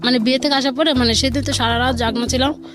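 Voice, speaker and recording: A woman's voice: sits high, steady tone, fast. Bangladeshi woman telling her life story, chatty colloquial, quotes who said what, music in the background. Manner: colloquial, animatedly quotes dialogue back and forth. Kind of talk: conversational personal storytelling.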